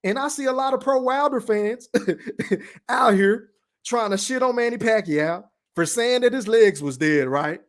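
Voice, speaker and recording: A man's voice: very high voice, unhurried. A man from Dallas-Fort Worth with a Texan accent, hosting a podcast livestream, reading the chat and giving blunt, profane opinions. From Texas, Dallas-Fort Worth. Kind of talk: casual livestream rant.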